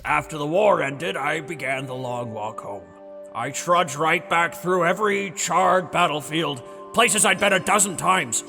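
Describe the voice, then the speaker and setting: An old battle veteran for audio drama, gruff and defensive, with a bright, creaky voice. He rambles like a storyteller and stammers when challenged. The sound is very reverberant, with music behind. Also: a little high, expressive, a little slow, full character voice. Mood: haunted, weary. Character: gruff, defensive old male war veteran and dragon-slayer, Abraxas.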